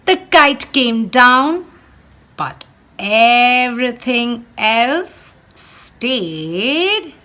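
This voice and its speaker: A woman teacher reading a picture book aloud to children in a slow, expressive storytelling manner, speaking directly to her young listeners. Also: a little high.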